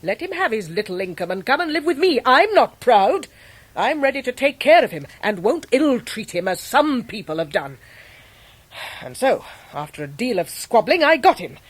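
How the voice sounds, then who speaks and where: An actress playing a brusque, eccentric older English great-aunt in a radio drama, blunt, emphatic and fiercely protective. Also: female voice, fairly high pitch, sing-song, normal speed. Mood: indignant, fond. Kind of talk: 1990s British radio drama acting.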